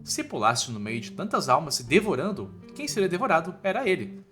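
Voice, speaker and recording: Bright, animated Brazilian male recap narrator. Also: on the high side, fairly quick. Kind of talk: informal scripted story narration. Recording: music behind the voice.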